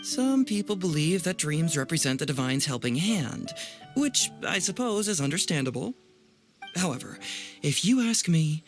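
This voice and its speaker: Breezy, theatrical young detective. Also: male voice, on the high side. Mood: intrigued, musing. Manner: theatrical, musing, teasing. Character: breezy, clever young male detective, playful and self-assured. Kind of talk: anime video game dialogue.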